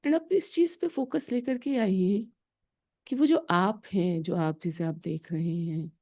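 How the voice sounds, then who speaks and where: A woman with an Indian accent leading a guided meditation in a deliberate, instructional way, pausing between short phrases. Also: medium pitch, darkish tone.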